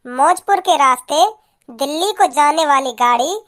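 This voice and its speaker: A performer voicing a formal but rude railway announcer in a slow cartoon parody. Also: sits high, lively intonation, fairly bright tone. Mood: formal, mocking.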